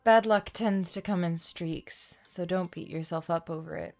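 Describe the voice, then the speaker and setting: A woman reading a game's lines as a reserved, stoic young male traveller, deadpan and measured. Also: fairly low pitch, close to an everyday voice. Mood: calm, reassuring. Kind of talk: reading video game dialogue aloud.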